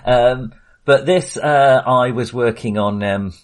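A man's voice: mid-range voice, takes it slowly. Jokey middle-aged man hosting a podcast. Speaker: podcast host. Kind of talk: casual film discussion.

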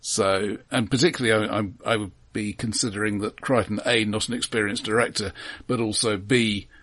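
A man co-hosting a film podcast, talking casually in a dry, measured way. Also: fairly low pitch, fairly even intonation, fairly bright tone.

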